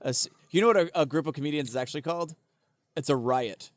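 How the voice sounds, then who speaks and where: Excitable swearing man on a podcast. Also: on the high side, fast, no room sound. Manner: profane, excitable, stammering restarts. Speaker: podcast co-host. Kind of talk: casual podcast banter.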